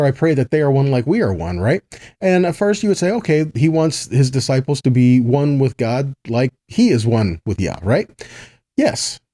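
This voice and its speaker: A man with a mellow, warm voice teaches through scripture passages and reads verses aloud. He talks conversationally and often checks that his listeners are following him. The sound is dry and close, with little room echo. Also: medium pitch. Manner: conversational, checks in with "right?" and "see that?". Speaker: Bible teacher. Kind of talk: weekly Torah portion teaching.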